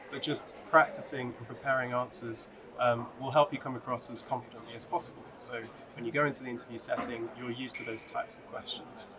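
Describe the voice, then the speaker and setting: A young man from the UK, a recent PhD graduate, giving advice in a steady, even way. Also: mid-range voice, background noise. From United Kingdom. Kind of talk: answering interview questions to camera. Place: large open atrium, indoors. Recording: crowd chatter behind the voice.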